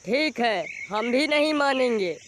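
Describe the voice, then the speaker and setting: Man as a very high bratty crow chick. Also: expressive, unhurried, fairly bright tone, slight background noise, exaggerated voice. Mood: sulky demanding. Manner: sulky, insistent. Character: stubborn, demanding young crow chick, sulky brat. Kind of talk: animated moral story dialogue.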